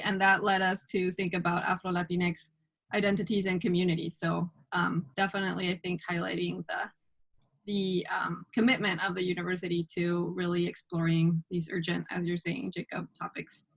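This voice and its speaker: Woman podcast cohost, bright voice, encouraging, lots of yeahs. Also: fairly low pitch, level tone, normal speed, vocal fry, rough recording. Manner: warmly affirming, encouraging. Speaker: co-host. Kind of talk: casual podcast hosting. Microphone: video call.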